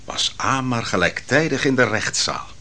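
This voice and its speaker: An actor playing a sceptical official in a biblical radio drama, speaking in terse questions and judgements. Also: male voice, mid-range voice, animated, normal speed, pushed and bright. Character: sceptical male official questioning irregular trial procedure.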